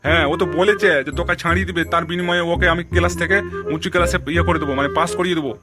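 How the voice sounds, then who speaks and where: A man's voice: high-pitched, fairly even intonation, racing, lightly acted. A man voicing a blustering, colloquial middle-aged headmaster and father in an amateur comic cartoon. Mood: indignant. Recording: music behind the voice.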